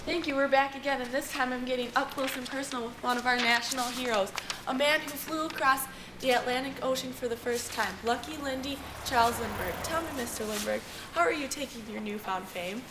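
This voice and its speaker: A woman's voice: sits high, brisk, noisy background, natural voice. A woman playing a 1920s field news reporter in a scripted amateur newscast. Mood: inquisitive. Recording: roomy distant mic, music behind.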